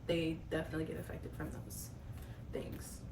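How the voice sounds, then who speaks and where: Flat-voiced young woman, distant mic. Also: low voice, moderate pace. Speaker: podcast co-host. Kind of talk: casual chat. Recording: distant echoey mic, faint noise.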